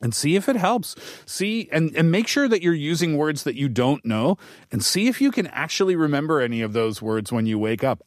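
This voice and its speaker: Chatty male radio host, dry studio sound. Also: no echo. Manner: relaxed, chatty, lively shifts in pitch. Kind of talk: casual radio talk. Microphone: studio microphone.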